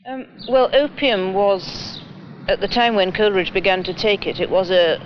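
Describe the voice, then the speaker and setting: A man's voice: high voice, lo-fi sound, slight background noise. A man speaking as an expert in an unscripted interview, explaining things a little hesitantly.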